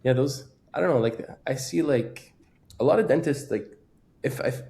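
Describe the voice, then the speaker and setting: A man's voice: medium pitch, normal speed, creaky, dark tone. A man in his early twenties, a dental student guest, talking earnestly and a bit hesitantly. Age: 20s. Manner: earnest, hesitant, many "like" fillers. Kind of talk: casual podcast conversation.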